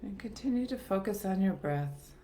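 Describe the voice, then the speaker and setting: A woman leading a guided meditation in a soothing, gentle, unhurried manner with long pauses. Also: mid-range voice, animated, a little hiss or hum. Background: soft background music.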